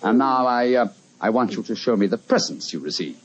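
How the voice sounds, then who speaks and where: An actor playing a commanding, genial gentleman detective in an old-time radio drama. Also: medium pitch, lively intonation, fairly quick, a little hiss or hum, lightly acted. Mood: jovial, indulgent. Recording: dull vintage radio recording.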